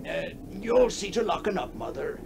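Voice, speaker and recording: An actor playing a weary grown son who mildly chides his mother in a radio drama, speaking hesitantly and haltingly. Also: high voice, big pitch swings, vocal fry, exaggerated voice. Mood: weary disapproval. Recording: noisy 1960s radio broadcast.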